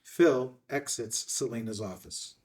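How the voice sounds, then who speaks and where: A man's voice: mid-range voice, a little slow, a little mellow. Man reading screenplay directions, slightly distant. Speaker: stage directions reader at a table read. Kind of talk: scripted narration of screenplay directions.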